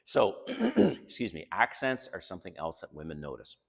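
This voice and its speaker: A man's voice: sits low, huge pitch swings, moderate pace, gravelly, slightly rough, long reverb tail. An older man from Canada with a Canadian accent, giving blunt, frank dating advice straight to his viewers in a casual monologue.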